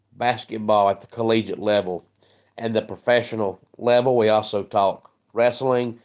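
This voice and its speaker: Scratchy-voiced man with a head cold. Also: fairly low pitch. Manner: chatty, greets viewers by name. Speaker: sports channel host. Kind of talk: casual livestream chat.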